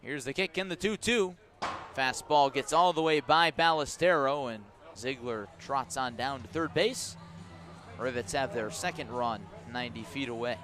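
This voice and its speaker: Male baseball radio announcer, mid-range voice, measured play-by-play with dry asides, outdoor ballpark setting. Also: mid-range voice, a bit bright. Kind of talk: live radio sports commentary.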